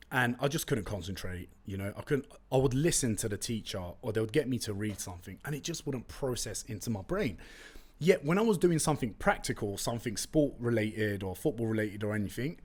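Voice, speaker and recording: A young man from London with a London accent, a native Turkish speaker, chatting casually and slangily as a solo podcast host on a close studio mic. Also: lowish voice. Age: young adult. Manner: chatty, slangy, animated storytelling. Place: room with brick walls, indoors. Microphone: close studio condenser mic with pop filter.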